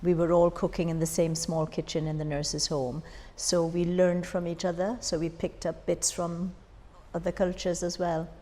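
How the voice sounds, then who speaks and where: Older jamaican lady reminiscing, deep mellow voice, echoey room. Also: flat delivery, normal speed, hardly any pauses. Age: 60s. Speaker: interviewee, former overseas student nurse. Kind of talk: reminiscing in an oral history interview. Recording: echoey hall, faint background noise.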